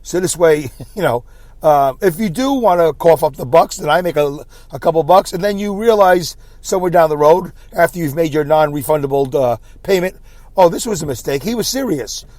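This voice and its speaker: A man hosting his own podcast with a scratchy voice, rambling in a self-deprecating way and clearing his throat often. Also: fairly high pitch, lively intonation, moderate pace, darkish tone, no echo. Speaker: podcast host. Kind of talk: solo rambling podcast monologue. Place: inside a car.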